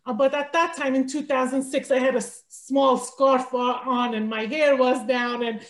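A woman's voice: high-pitched, normal speed. Chatty woman guest in an echoey room. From Iran. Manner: animated storytelling with many fillers like "you know". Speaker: author and podcast guest. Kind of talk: casual interview chat.

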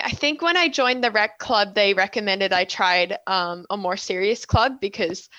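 A woman's voice: mid-range voice, pushed and bright, dry recording. A woman in her twenties with an Australian accent tinged with Canadian, chatting as an interview guest, laughing often between fillers. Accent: Australian-Canadian blend. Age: 20s. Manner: giggly, chatty, many fillers. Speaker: guest, synchronized swimmer. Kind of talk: casual interview chat.

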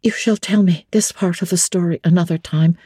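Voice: medium pitch, steady tone, brisk, warm tone, breathy edge, dry recording, clean studio sound, voice pushed up, natural voice. A reader voicing an earnest young woman who presses eagerly with questions, in an audiobook. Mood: urgent. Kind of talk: audiobook character reading.

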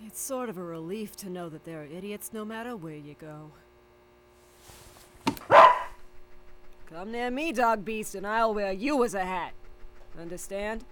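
An actress playing a tough, wary renegade scientist in an audio drama, with a sardonic, slangy drawl. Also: female voice, medium pitch, moderate pace, background noise, close to an everyday voice. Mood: menacing.

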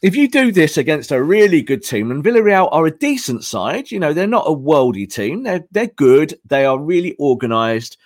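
A hoarse man analyzing football tactics on a podcast, emphatic and repeating his points. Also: fairly high pitch, sing-song, moderate pace, hardly any pauses, dry recording, clean studio sound.

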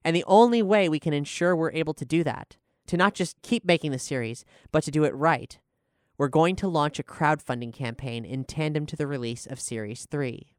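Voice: mid-range voice, moderate pace, no room sound, clean studio sound. A podcast host and showrunner speaking earnestly and articulately while making announcements and talking about a production.

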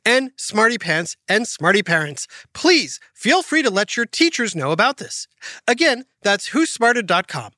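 A woman's voice: medium pitch, sing-song, a little slow, bright, forceful tone, dry recording, clean studio sound. Upbeat woman reading a kids' show promo. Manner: upbeat, emphatic announcer read. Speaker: podcast host. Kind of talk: scripted kids podcast announcement.

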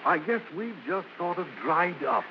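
Polite, earnest American fellow, raised animated pitch, hesitant delivery, old radio. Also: male voice, moderate pace. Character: polite, hesitant, earnest male resort guest. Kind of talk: 1950s American radio drama acting. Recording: dull, noisy old radio recording.

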